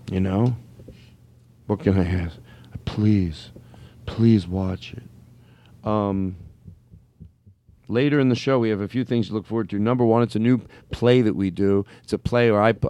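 A man's voice: medium pitch, darkish tone, dry recording. A man in his thirties hosting a comedy show, rambling and playful, stammering and laughing as he jokes. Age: 30s. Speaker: comedy podcast host. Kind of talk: improvised comedy banter.

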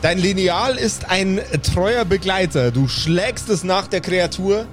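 A man's voice: high-pitched, slow. A man narrating an improvised tabletop game as game master, describing the action in detail as he makes it up. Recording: music playing behind the voice. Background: background music.

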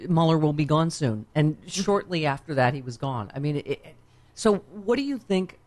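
Lively, joking woman guest. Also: low voice, normal speed. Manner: joking, lively, profane banter. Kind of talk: casual political chat.

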